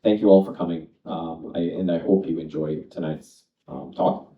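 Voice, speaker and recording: A man hosting a panel event and welcoming the audience in a relaxed, hesitant way with many fillers. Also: deep voice, moderate pace. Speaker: event host. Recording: echoey room, distant dull mic.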